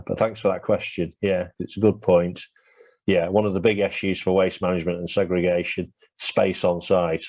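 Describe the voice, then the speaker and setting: A man's voice: low-pitched, vocal fry, darkish tone, no room sound. A man presenting a webinar and answering questions, talking with many fillers and restarts, his voice going. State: losing his voice. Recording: dull lo-fi webinar audio.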